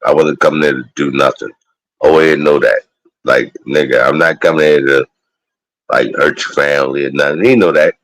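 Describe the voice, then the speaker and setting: A man's voice: extremely low pitch, steady tone, moderate pace, fairly bright tone, rough recording. A man, chatting loosely and profanely as a guest on a live stream. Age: 40s. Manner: loose, profane street talk. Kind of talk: casual chat.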